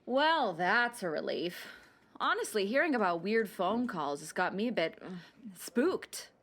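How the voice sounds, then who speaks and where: A very animated, mid-pitched voice, a kindly woman clerk at a small town hall in a radio drama. She is friendly and chatty, a little hesitant, always trying to reassure. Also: unhurried. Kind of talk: radio play acting.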